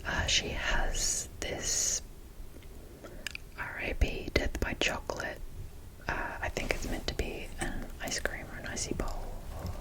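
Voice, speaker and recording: A young woman with an Australian accent speaking in a breathy whisper, rambling casually in an ASMR show-and-tell style. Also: a little slow, low-quality recording. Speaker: ASMR creator showing a doll collection.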